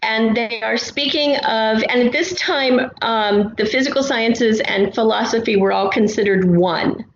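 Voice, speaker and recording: A woman's voice: a little high, measured pace, hardly any pauses. Woman telling stories in an echoey room. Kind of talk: casual discussion and storytelling. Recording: roomy, dull sound with faint noise.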